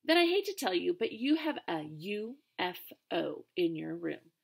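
A woman's voice: on the low side, very animated, unhurried, creaky, breathy edge, heavily acted. Woman doing a bossy, teasing big sister. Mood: exasperated. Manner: teasing, exasperated.

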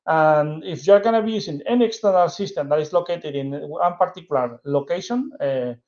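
A man in his forties from southern Spain with a Spanish accent, giving an informal technical presentation in a halting way with frequent checking tags. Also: on the high side, a little mellow. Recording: dull, lo-fi webcam audio.

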